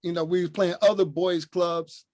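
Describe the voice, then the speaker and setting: A man's voice: high-pitched, fairly even intonation, normal speed, darkish tone, no echo, clean studio sound. An older man from Newark, a retired coach, telling easygoing stories about his childhood over a video call. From Newark, New Jersey. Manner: easygoing, leans on "you know what I'm saying". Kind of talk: relaxed storytelling.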